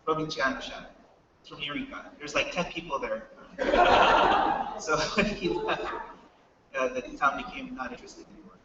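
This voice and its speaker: A man's voice: on the high side, sharp tone, dull audio, a little hiss or hum. An American man giving a casual startup talk to students, asking the audience questions. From United States. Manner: chatty, asks the audience questions. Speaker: startup co-founder speaker. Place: hall with a live audience. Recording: echoey hall, mic from far off.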